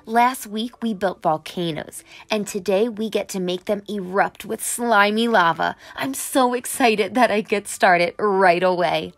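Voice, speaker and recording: A young adult woman narrating a picture book for children in an animated, expressive and carefully enunciated way. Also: medium pitch, unhurried, hardly any pauses. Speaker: children's read-aloud storyteller.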